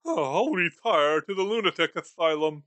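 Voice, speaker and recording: A man's voice: fairly high pitch, lively intonation, normal speed, fairly bright tone, no echo. A man voicing a bitter, miserly old male moneylender in a storybook reading, scornful and sarcastic. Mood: coldly dismissive.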